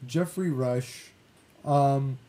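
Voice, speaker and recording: A man's voice: extremely slow, warm tone. A man hosting a casual film discussion podcast, thinking aloud in a meandering, hesitant way. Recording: clear background noise with low rumble.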